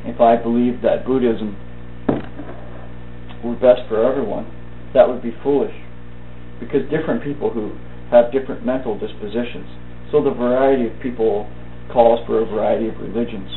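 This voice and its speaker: A man reading a personal essay aloud as a thought for the day, in a measured, halting way, phrase by phrase. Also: mid-range voice, fairly even intonation. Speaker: reader of a thought-for-the-day video. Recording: tinny band-limited audio, roomy echo, faint hum.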